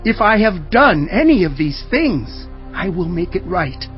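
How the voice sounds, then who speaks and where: An actor playing an old gray prophet, solemn and emphatic, declaiming in a dramatized audio Bible. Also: male voice, high-pitched, very animated, a little slow, muffled recording, soft background music. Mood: solemn, challenging. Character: old gray prophet, stern, solemn leader addressing his people.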